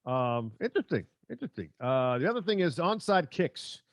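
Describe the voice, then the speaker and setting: A man hosting casual sports talk, blunt and quick to interject with questions to his co-host. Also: fairly high pitch, very animated, dry recording, studio quality.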